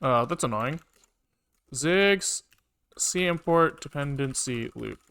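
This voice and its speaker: A man with a medium-pitched, clear and bright voice talks casually to himself as he codes on stream. His delivery is halting and exploratory, with half-finished thoughts and questions put to himself. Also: expressive. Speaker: live-coding streamer, programmer. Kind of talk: casual thinking aloud while programming on a livestream.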